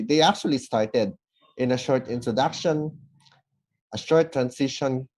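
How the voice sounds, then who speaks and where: A man giving formal, measured feedback as a judge, working through numbered points. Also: medium pitch, a little mellow, rough recording. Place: online event recording.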